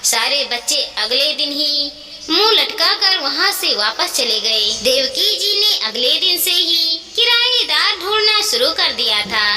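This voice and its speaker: A woman narrating a scripted moral story in an expressive, earnest way. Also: high-pitched, measured pace. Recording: echoey reverberant sound, clear background noise.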